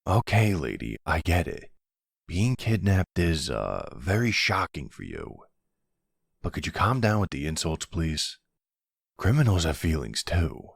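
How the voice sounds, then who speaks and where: Man acting as a deep-voiced, exasperated mafia boss. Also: big pitch swings, unhurried, dry recording, clean studio sound, full character voice. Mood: weary, placating. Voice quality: deep, smooth, gravelly creak. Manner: wry, colloquial, weary. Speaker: voice actor in an audio roleplay. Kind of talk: ASMR roleplay acting. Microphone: close, dry microphone.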